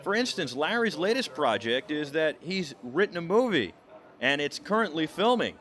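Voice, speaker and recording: A man's voice: fairly high pitch, sing-song, moderate pace, a bit bright. An American man narrating a scripted video report as a reporter.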